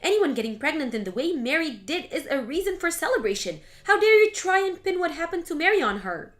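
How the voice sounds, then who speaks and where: A woman voicing an outspoken adult daughter who talks in a sharp, exasperated way. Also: high voice, very animated, fairly quick. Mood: angry.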